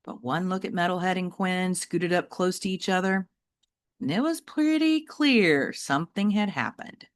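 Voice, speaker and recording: Animated woman reading a children's story. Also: fairly low pitch, normal speed. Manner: animated, dramatic storyteller delivery with varied pacing. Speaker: teacher reading a novel aloud. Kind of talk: expressive children's audiobook narration.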